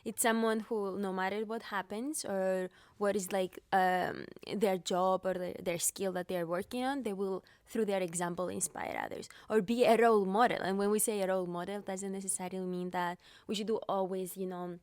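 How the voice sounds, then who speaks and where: A woman's voice: medium pitch, fairly even intonation, moderate pace, hardly any pauses, a little mellow, no echo. A young woman from Cyprus with a Greek Cypriot accent, a pianist being interviewed, talking with many fillers and restarts. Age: young adult. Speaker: pianist guest. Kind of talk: podcast interview.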